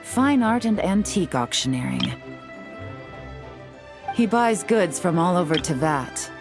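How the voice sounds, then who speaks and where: A shrewd female investigator from a video game. Her voice sits a little low, composed and knowing with a sardonic edge, over soft background music. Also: big pitch swings, unhurried. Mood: explanatory, sardonic.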